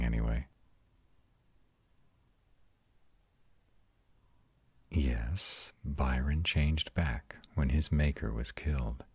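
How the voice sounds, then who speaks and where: A man performs a proud male vampire comforting his lover in an audio roleplay. His voice is extremely low and husky, delivered very slowly, with tender, intimate reassurance. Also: darkish tone, dry recording, heavily acted. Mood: soothing, tender. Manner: slow, soothing, intimate.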